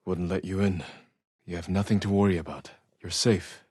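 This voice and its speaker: A man playing a stoic, honorable samurai warrior in a video game, husky and measured, with terse, solemn delivery. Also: sits low, fairly even intonation, a little mellow, no echo, clean studio sound. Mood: calm, composed. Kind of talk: video game dramatic voice acting.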